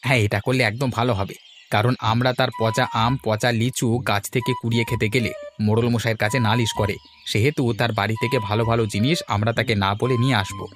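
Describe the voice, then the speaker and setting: A man's voice: mid-range voice, fairly even intonation, fast, over music, close to an everyday voice. A man voicing an emphatic, argumentative young village lad who schemes with friends in a cartoon story. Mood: scheming.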